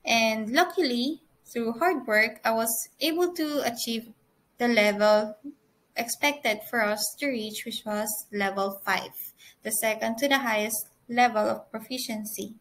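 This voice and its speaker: Young woman, fairly high voice, earnest student presenter, measured delivery. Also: fairly bright tone, slight background noise, rough recording. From Philippines. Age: 20s. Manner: earnest, measured, occasional restarts. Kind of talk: prepared conference presentation.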